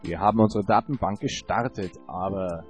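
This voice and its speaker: A man's voice: sits low, moderate pace, faint music behind. A man presenting a scripted tech workshop in an instructive, lecturing tone. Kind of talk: scripted tutorial.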